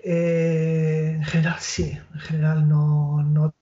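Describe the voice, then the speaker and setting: A man in his forties with a Spanish accent, a literary translator answering interview questions over a video call, pausing and restarting often and adding tag questions. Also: a little high, completely flat delivery, mellow. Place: small room at home with bookshelf. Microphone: earphone mic on video call. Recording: roomy, dull lo-fi call audio.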